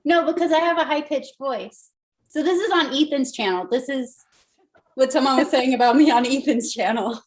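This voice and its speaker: A woman with a high-pitched voice, a doll artist chatting as a guest and laughing often. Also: normal speed, a little hiss or hum. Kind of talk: casual chat.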